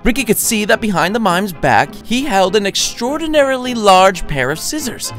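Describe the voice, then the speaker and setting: A man's voice: high-pitched, big pitch swings, normal speed, a bit bright, faint music behind. A man reading his own story as a wry, theatrical narrator. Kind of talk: lively scripted storytelling narration.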